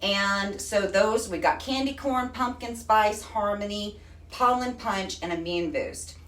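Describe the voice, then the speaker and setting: A woman's voice: medium pitch, unhurried, a bit bright. A woman from Texas with a Texan accent, hosting a casual live stream in a chatty, folksy way. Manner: chatty and folksy, says honey and y'all. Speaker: host. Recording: echoey room, far from the mic.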